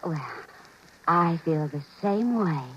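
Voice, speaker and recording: Coy, low-pitched flirtatious secretary. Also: female voice, lively intonation, slow. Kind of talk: 1950s radio drama acting. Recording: muffled, noisy vintage 1950s radio recording.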